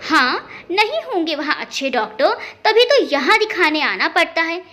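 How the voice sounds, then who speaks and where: A woman's voice: extremely high pitch, big pitch swings, normal speed, sharp tone, exaggerated voice. A woman storyteller voicing an older, taunting busybody aunt-in-law who needles with caustic questions. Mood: bitter taunting.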